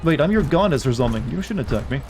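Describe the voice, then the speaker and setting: A man streaming a game, chatting wryly with joking asides, his voice a bit raspy. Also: on the high side, very animated, rapid-fire, a little mellow. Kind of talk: live gameplay commentary. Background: faint game music.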